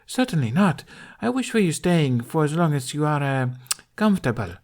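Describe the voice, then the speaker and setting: A man voicing a poised, cordial foreign empress in an audiobook, with halting, formal non-native phrasing. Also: on the high side, sing-song, darkish tone, pitched above the performer's own voice. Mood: gracious, reassuring.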